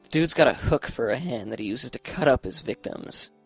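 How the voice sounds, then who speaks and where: A young boyfriend in a horror radio play. He talks in a medium-pitched, casual and eager voice, like someone playfully trying to spook his date, with music behind him. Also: expressive, a little fast, husky. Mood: teasing, lurid. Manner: eager, teasing storyteller. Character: teasing young boyfriend telling a lurid scary tale. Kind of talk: casual dialogue in audio horror drama.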